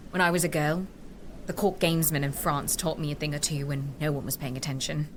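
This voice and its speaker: A woman's voice: deep voice, steady tone, fairly quick, a little hiss or hum, lightly acted. A woman playing a spirited, poised queen out hunting in an audio drama. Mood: eager.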